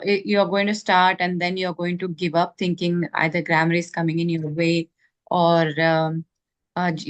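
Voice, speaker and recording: A woman interviewing a learner and giving clear, encouraging advice on learning English. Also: lowish voice.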